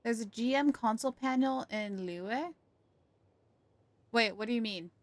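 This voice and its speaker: A woman's voice: a little high, measured pace, dry recording. A woman livestreaming a game with casual, chatty commentary, reacting aloud as she goes.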